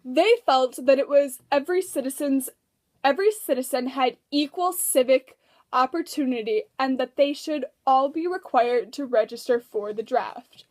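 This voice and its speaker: High-pitched woman narrator. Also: moderate pace, a little mellow. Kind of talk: scripted educational narration.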